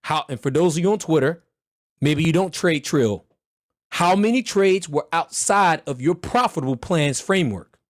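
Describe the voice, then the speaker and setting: A man leads a livestreamed trading mentorship, and his voice is hoarse as he recovers from an illness. His pitch sits a bit high, and the recording is dry and close. He talks bluntly and loudly, with slang, pushing his listeners like a coach. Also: animated, normal speed, dry recording. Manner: loud, blunt, motivational, slangy.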